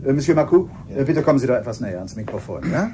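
An eager, courteous field reporter played by a man in a radio play presents with slightly formal, chatty politeness. His mid-pitched voice sits in clearly noisy location ambience. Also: animated, racing, natural voice. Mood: courteous, presenting. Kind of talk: radio drama acting as live reportage.

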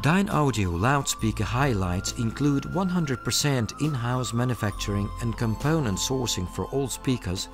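A man reading scripted promotional documentary narration in a polished, measured manner. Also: mid-range voice, animated. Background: music.

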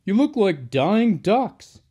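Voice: medium pitch, big pitch swings, takes it slowly, mellow, slightly rough, pitched above the performer's own voice, heavily acted. A storybook narrator voicing a hearty, teasing male engine driver who exclaims as he talks. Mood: surprised. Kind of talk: children's storybook character voice.

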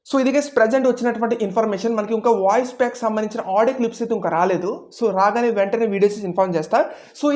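A woman's voice: medium pitch, darkish tone. A woman with a Telugu accent giving a casual gaming news update to viewers as a YouTuber.

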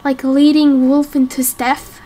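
A protective, defiant woman, played by a female voice actor in a game roleplay. Her voice is high and her manner earnest and accusing. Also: level tone, unhurried, mellow.

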